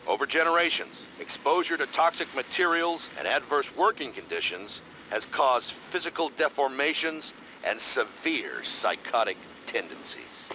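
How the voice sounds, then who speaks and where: An actor playing a stern military commander in a video game, giving measured, authoritative mission briefings. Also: male voice, mid-range voice, very animated, pushed and bright, slight background noise, low-quality recording. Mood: instructive. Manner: measured, authoritative, matter-of-fact.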